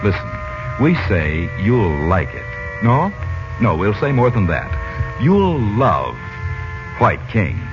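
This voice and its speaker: A man announces on a muffled forties radio broadcast with music behind him. His low voice swings widely in pitch as he makes a folksy, conversational sales pitch with dramatic emphasis. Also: wildly swooping pitch, slow pace. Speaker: radio announcer reading sponsor message. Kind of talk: 1940s radio advertising announcement.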